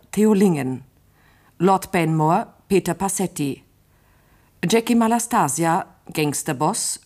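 A woman's voice: fairly low pitch, a little mellow. A woman radio announcer formally reading scripted closing credits.

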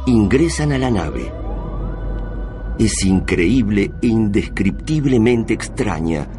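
A man narrating a radio drama in a solemn, measured, literary manner. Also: fairly low pitch, expressive. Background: music.

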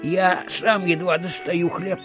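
A poor, elderly father in an audio drama. He sounds weary and grudging and speaks extremely slowly, with clear music behind. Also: sits high, flat delivery.

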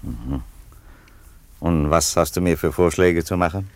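An actor playing a wry, composed Roman emperor in a radio play, speaking with dry irony. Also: male voice, sits low, measured pace, a little mellow. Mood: polite, ironic. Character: wry, unhurried Roman emperor, husband and father. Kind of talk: German radio play acting.

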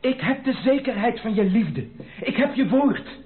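An actor playing a proud, stubborn gentleman suitor in a Dutch radio play, speaking formally and with dramatic emphasis. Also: very high-pitched, expressive, measured pace. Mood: insistent. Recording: thin narrow-band radio play recording.

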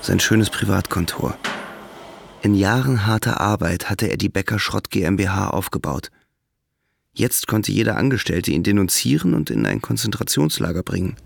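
An actor narrating a literary radio play in a measured, matter-of-fact storytelling manner. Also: male voice, on the low side, lively intonation, vocal fry, no room sound, exaggerated voice.

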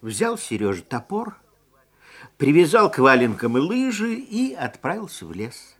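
A man narrating a children's fairy tale in a theatrical, expressive way, stretching key words for emphasis. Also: a little high. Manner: theatrical, deliberate, drawn-out emphasis. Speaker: storyteller.

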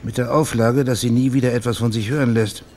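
An actor playing a probing, quietly insistent private investigator in a German radio crime drama, speaking in a measured, matter-of-fact way. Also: male voice, medium pitch, steady tone, a little hiss or hum, natural voice. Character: probing, plain-spoken male private investigator named Max, quietly insistent.

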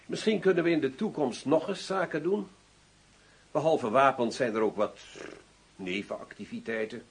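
An actor playing a cynical, smoothly menacing ex-general turned arms dealer in a radio drama, husky and formal in his delivery. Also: mid-range voice, moderate pace. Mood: coolly threatening.

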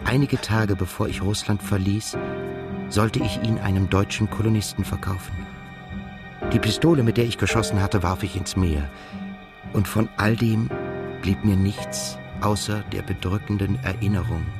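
A male actor playing a melancholy, haunted émigré narrator in a literary radio drama, speaking in a measured, reflective way. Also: low voice, steady tone, creaky, loud music behind the voice. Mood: brooding.

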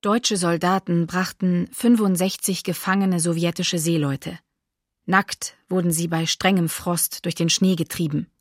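Low-voiced, sombre eyewitness. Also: female voice, steady tone, unhurried, no room sound, studio quality. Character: sombre female eyewitness recalling prisoners' last march. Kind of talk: radio docudrama testimony.

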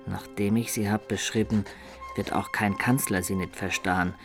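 A man's voice: lowish voice, steady tone, over music, natural voice. A man playing a roguish medieval Italian of peasant birth in a radio play, who halts and labours over archaic words as he writes his chronicle. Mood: sly, musing. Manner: laborious, halting dictation while writing, archaic wording.

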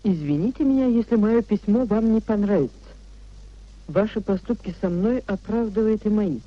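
Actress doing proud society wife in an old radio play, formal and theatrical, dull muffled sound. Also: female voice, medium pitch, animated, moderate pace, no room sound, natural voice. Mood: reading coldly. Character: proud, indignant married society lady. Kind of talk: 1950s radio play acting. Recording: dull 1950s radio drama recording.

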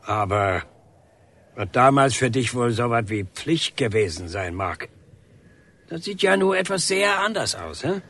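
A man acting in a radio drama as an aggrieved older painter, husky, deliberate and insistent, with grunting hesitations. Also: medium pitch, sing-song, a bit bright. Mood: resolute. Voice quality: husky, rough. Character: aggrieved, defiant older painter confronting a policeman.

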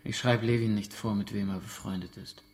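An actor playing a defensive former soldier and military contractor in a radio drama, speaking in a curt, guarded way. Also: male voice, lowish voice, steady tone, brisk, darkish tone, lightly acted. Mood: guarded, firm.

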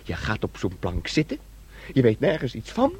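An actor in a Dutch radio play playing a childlike, eager, dreamy young man, naive and insistent, with a husky voice. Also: medium pitch, huge pitch swings, normal speed, a little mellow, dry recording. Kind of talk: Dutch radio drama acting.

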